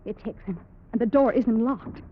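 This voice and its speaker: An actress playing a nervous sweetheart in a 1940s radio drama, with anxious, urgent delivery. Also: female voice, a little high, moderate pace, natural voice. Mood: alarmed. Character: nervous woman, the tough showman's frightened sweetheart. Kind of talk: 1940s old-time radio acting. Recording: muffled vintage radio recording, heavy noise.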